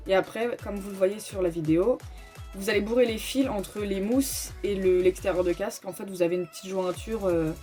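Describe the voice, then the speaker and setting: A woman talks through a tutorial in an informal, explanatory way, calling out each step as she reaches it. Her voice sits at a medium pitch for a woman. Soft music plays behind her. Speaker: host of a motorcycle gear tutorial. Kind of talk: casual step-by-step tutorial voice-over.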